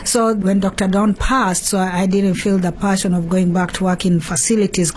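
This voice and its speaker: A woman's voice: medium pitch, a little mellow. A woman with a Kenyan accent, telling her personal story as an interview guest. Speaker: interview guest, home care business owner.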